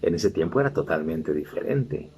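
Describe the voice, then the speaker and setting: A man's voice: extremely low pitch, expressive, moderate pace, a little mellow, slight background noise. A middle-aged man preaching a sermon as a pastor, building to emphatic, rising exhortations.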